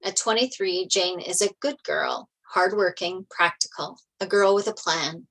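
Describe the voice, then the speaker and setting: A woman's voice: medium pitch, unhurried, a bit bright, poor audio. A woman reading stage directions as narrator in a table read of a TV script.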